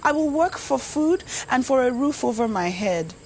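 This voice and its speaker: A woman voicing a gentle, sincere young daughter in a storybook tale, speaking softly and earnestly. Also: high-pitched, expressive, moderate pace, slight background noise, pitched above the performer's own voice. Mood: tearful pleading. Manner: soft, earnest, pleading. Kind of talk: storybook character voice.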